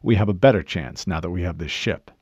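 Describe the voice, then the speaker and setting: A man voicing a stoic, resolute male super-soldier chief in an audiobook, terse and measured. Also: low-pitched, lively intonation, a little mellow, slightly rough, dry recording, muffled recording. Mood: steady, determined. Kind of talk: audiobook character voice.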